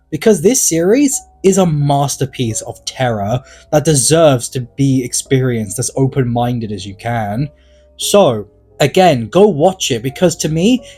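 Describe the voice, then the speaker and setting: A man's voice: fairly high pitch, mellow, slightly rough. A man narrating a scripted video essay in an earnest way, leaning on words for dramatic emphasis. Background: background music.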